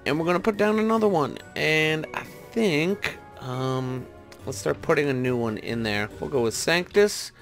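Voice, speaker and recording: A man's voice: a little high, lively intonation, moderate pace, gravelly, a bit bright, soft background music. A man giving casual gameplay commentary, thinking aloud and tentatively talking through each step as he goes. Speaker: gaming video host.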